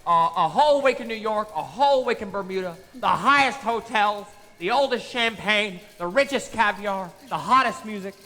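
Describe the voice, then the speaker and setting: A young actor playing an eager, flustered small-town banker in a live stage radio play, stammering and hurried. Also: male voice, sits high, animated, pushed and bright. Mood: cheerful. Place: theater stage before an audience. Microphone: vintage-style stage microphone. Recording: long hall reverb.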